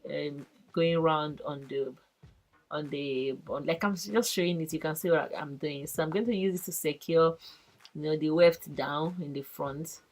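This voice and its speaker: A woman casually explaining a hair tutorial as she works, halting and full of "you know". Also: sits low, steady tone, a little mellow. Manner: halting, filler-heavy demonstrating. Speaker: hair vlogger, host.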